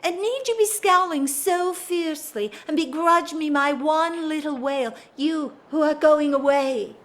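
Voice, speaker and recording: Restless society wife, period audio play. Very high voice, unhurried delivery, candid and wryly dramatic. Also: female voice. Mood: wry complaint. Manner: theatrical, wry, drawling with emphatic swells. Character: restless, bored aristocratic wife confessing candidly. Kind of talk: period drawing-room audio drama acting.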